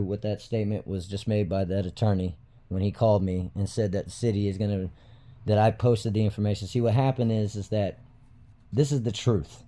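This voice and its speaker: Guy ranting to his viewers, low dark voice, blunt and sweary, really emphatic. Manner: indignant, blunt, profane, emphatic. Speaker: video host, self-styled citizen watchdog. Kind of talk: unscripted commentary to viewers.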